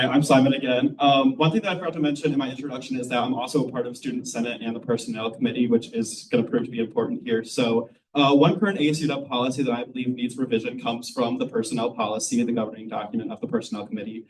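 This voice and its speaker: A man's voice: medium pitch, fairly even intonation, fast talker, hardly any pauses. Eager young man from Michigan, distant mic. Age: young adult. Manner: eager, prepared, formal speech delivery. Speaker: student election candidate. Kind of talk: campaign speech at a forum. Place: room at a public forum. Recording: voice from far off the mic.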